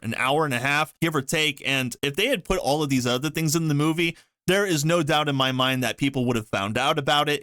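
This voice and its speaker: A man's voice: medium pitch, fairly bright tone, no room sound, clean studio sound. A man hosting online commentary, reading articles aloud and reacting with emphatic opinions. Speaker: YouTube commentary host.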